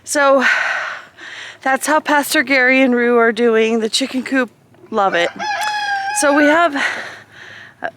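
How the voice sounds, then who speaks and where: A woman homesteader chatting casually in a vlog outdoors on a cold farm, rambling, her voice a little hoarse. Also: a little high, fairly bright tone, a little hiss or hum. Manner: chatty, rambling, talks to animals. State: slightly hoarse.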